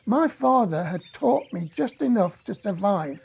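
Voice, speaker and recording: A woman with a husky voice giving a quiet, grave first-person reading of a testimony. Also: medium pitch, lively intonation, a little slow. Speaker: reader of a survivor's testimony. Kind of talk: read testimony.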